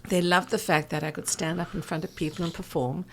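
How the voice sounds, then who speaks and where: A woman in her sixties speaks in a low register with an English South African accent. She is an interview guest recounting her life and expertise in a conversational, theatrical storyteller's manner. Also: level tone, brisk, slight background noise. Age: 60s.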